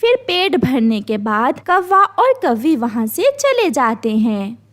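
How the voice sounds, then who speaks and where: A woman's voice: sits high, big pitch swings, takes it slowly. A woman reading scripted narration for a children's cartoon story.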